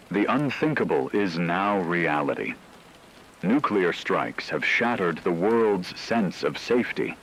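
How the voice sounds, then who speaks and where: Male actor as solemn wartime radio anchor, low-pitched, portentous scripted delivery, faint noise and rain behind. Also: fairly bright tone, full character voice, rough recording. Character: grave male radio news anchor announcing world war. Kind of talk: scripted dramatic emergency news broadcast.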